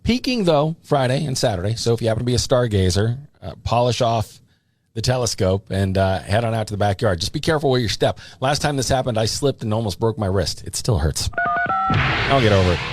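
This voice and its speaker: An American man presenting on country music radio in a chatty, casual, promotional style into a studio microphone. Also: mid-range voice. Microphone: studio broadcast microphone. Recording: radio aircheck with music behind.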